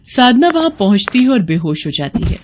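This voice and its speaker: A woman's voice: fairly high pitch, very animated, moderate pace, a little mellow, a little hiss or hum. A woman narrating a scripted moral bedtime story as its storyteller.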